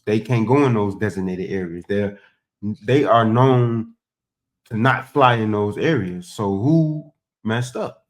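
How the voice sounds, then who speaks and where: A man giving casual, colloquial reaction commentary on the news, blunt and exasperated, repeating his points. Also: fairly low pitch.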